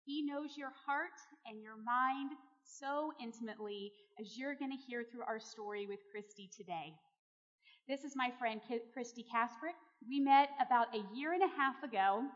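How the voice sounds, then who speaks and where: Woman, high-pitched, earnest and encouraging host addressing an audience, dull reverberant hall recording. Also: moderate pace. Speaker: host introducing and interviewing a friend at a church event. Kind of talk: warm-up address and guided testimony interview to an audience. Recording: muffled, echoey large hall.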